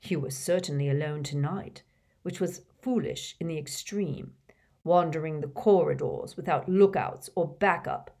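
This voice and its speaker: A woman voicing a cold, menacing male schoolmaster who speaks low, quiet and curt. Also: a little slow.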